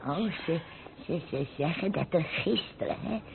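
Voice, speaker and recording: A woman's voice: lowish voice, lively intonation, measured pace, vocal fry. An actress playing a humble, chatty poor door-to-door woman, stammering and repeating words, in an old radio play. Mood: chatty, self-effacing. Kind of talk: old radio drama acting. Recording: tinny, noisy old radio play recording.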